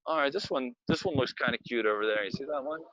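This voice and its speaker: Bright-voiced man guiding a walking tour. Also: mid-range voice, quick pace, no echo. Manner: rambling, chatty, self-interrupting. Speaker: tour guide. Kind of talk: live virtual walking tour, casual chat. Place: outdoor city street and cafe. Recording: dull, lo-fi video call audio.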